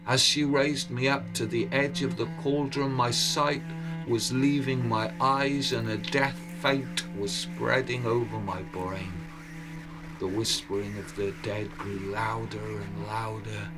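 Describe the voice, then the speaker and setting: A man telling a story in a measured, dramatic way, with deliberate pauses between phrases. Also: medium pitch, level tone, rough recording. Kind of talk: dramatic oral storytelling narration with music. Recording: cello and violin music behind.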